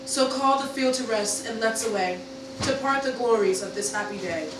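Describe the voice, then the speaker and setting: A woman's voice: fairly high pitch, normal speed, close to an everyday voice. A woman playing a magnanimous victorious young commander, speaking formally in a Shakespearean radio play. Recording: echoey room, distant mic, noisy.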